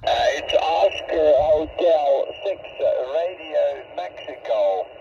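A muffled, static-laden shortwave signal carries an old man's voice. He has a Finnish accent and a fairly high pitch, and talks as a seasoned ham radio operator making a contact. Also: slow, vocal fry, dark tone. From Finland. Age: 70s or older. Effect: shortwave radio transmission.

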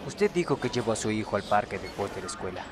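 Male actor as formal police officer in a game drama, lowish voice, noisy recording, Latin American Spanish accent. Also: very animated, quick pace. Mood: formal, questioning. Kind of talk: dramatic video game dub. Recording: clear background noise.

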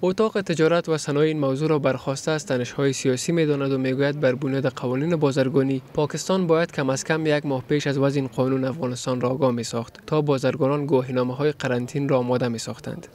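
A man voicing a scripted news report in an even, formal manner. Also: medium pitch, hardly any pauses, a little hiss or hum.

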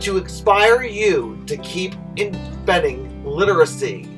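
A man's voice: high voice, animated, slow pace. Male host, promo read, emphatic, slightly off-mic over guitar bed. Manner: emphatic, salesy, rising to loud. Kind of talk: upbeat show promo. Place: home stairway indoors. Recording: distant mic with guitar music.

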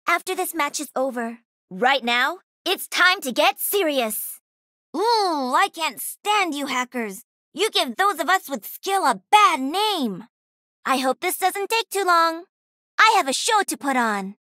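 A woman voicing a cocky, playful young female pro gamer and mech pilot in a video game, with a sassy, confident, energetic delivery. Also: high voice, dry recording, clean studio sound, full character voice. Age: young adult.

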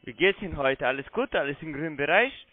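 A man with a fairly high voice talks as a call centre agent. He tends to repeat his words. He comes through a narrow telephone line. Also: animated, quick pace. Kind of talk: phone sales conversation. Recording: narrow-band phone line.

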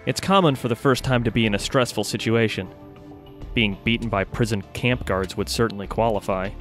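A man's voice: fairly low pitch, a little fast, a bit bright, lightly acted. A man playing a shrewd mage in a fantasy audio drama, with a terse, dry manner. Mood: dry, probing. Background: music.

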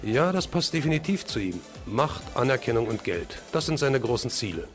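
A man's voice: medium pitch, big pitch swings, normal speed, faint music behind, lightly acted. A man playing a determined, serious crime-fighting hero in an audio drama. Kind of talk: German fan audio drama acting.

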